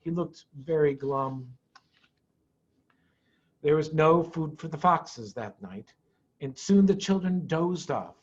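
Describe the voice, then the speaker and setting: A man reading a children's story aloud with dramatic, expressive pauses and emphasis. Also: fairly high pitch, muffled recording. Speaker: storyteller, head of school.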